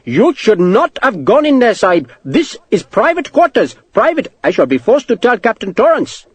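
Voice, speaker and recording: A man's voice: sits high, big pitch swings, moderate pace, breathy edge, pitched above the performer's own voice, full character voice. A man voicing a middle-aged, officious clerk in an audiobook, lugubrious and protesting in formal, repetitive phrases. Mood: indignant. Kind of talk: audiobook character acting.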